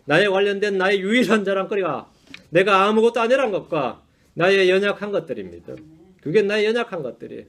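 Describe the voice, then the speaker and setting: A male pastor giving a Sunday sermon in a church, conversational and self-deprecating, often tossing in quick rhetorical asides to the congregation. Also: high-pitched, lively intonation, a bit bright. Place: church sanctuary.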